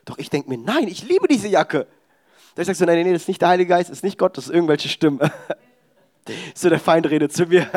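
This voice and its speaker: High-voiced young preacher. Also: male voice, expressive, quick pace, a little mellow. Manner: emphatic, exhorting preaching. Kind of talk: sermon. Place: church service.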